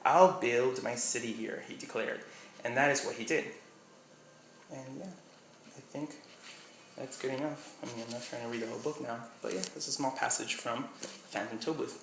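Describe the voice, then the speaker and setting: Bright-voiced young man with slight Miami accent. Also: medium pitch, steady tone, fairly quick, vocal fry, long pauses, reverberant, a little hiss or hum, rough recording. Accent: slight Miami English. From Miami, USA. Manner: rambling, trails off with "I don't know". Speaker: vlogger. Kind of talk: casual vlog chat, short read-aloud passage.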